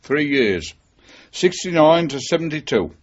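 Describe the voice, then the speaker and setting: A man's voice: fairly high pitch, very animated, measured pace. An actor playing a wary, cynical male former policeman, guarded and wry, in a 1980s radio drama. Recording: dull, muffled radio broadcast recording.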